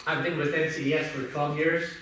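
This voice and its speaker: A man from Indonesia addresses a city council in a measured, formal way. He is heard from well off the microphone, and his voice rings around a large, hard council chamber. A clear hum of room noise sits under him. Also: a little high, level tone, fairly bright tone. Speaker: visiting development consultant. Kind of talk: public comment address. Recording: distant voice in echoey council chamber.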